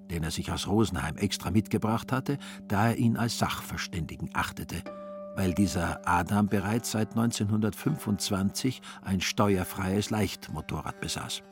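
A man narrating a literary radio play as a storyteller, measured and expressive in his scripted delivery. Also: lowish voice.

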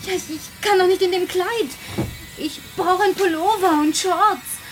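A woman's voice: extremely high pitch, fairly even intonation, normal speed, background noise. An actress playing an anxious, hesitant woman who pleads and questions in fear in a science-fiction radio drama. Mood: flustered.

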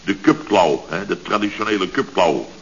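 A man's voice: deep voice, lively intonation, moderate pace. A middle-aged company boss from a 1970s radio comedy, full of bluster and easily muddled. He rambles, restarts and repeats himself with great self-importance. The old recording is dull, with a faint hiss behind him. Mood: self-important. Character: blustering, scatterbrained middle-aged male building-firm boss. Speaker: actor in a radio comedy serial.

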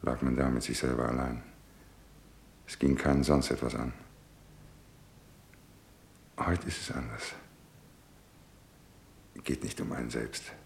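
An actor playing a distraught, brooding father in a German radio drama, halting and ruminating with bitter irony. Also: a bass voice, level tone, creaky, a little hiss or hum, full character voice. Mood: haunted, musing. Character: distraught, brooding father awaiting news of his son. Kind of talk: German radio drama acting with inner monologue.

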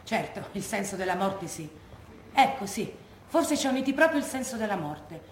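An actress plays a former partisan woman, firm and lucid, in a mid-pitched voice. Her measured testimony rings in a roomy space with light background noise. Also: lightly acted. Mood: reflective. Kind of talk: stage monologue from oral testimony. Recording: roomy, echoey stage recording with noise.

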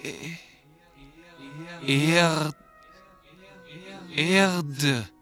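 An actor in a radio play voicing an otherworldly being who stammers out single words, groping for speech. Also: male voice, a little high, extremely slow, fairly bright tone, soft background music. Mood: straining. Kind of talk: experimental radio play acting.

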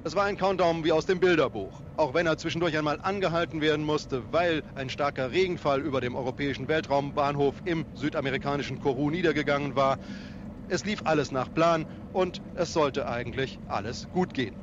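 A man's voice: a little high, brisk. A man reading a scripted, dramatic news-style report as a reporter. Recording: dull, lo-fi sound with noisy ambience.

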